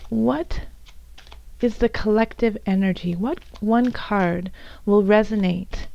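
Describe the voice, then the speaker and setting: Casting: female tarot reader, raspy and hoarse, gravelly edge, mellow tone, gentle unhurried address, dull home recording. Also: mid-range voice. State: hoarse from bronchitis, voice coming and going. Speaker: tarot reader and channel host. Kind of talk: soft spoken tarot card reading to viewers.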